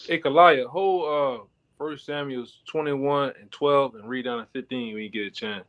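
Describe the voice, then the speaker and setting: A man's voice: mid-range voice, moderate pace, fairly bright tone. A man speaking casually as he directs others within a group Bible study.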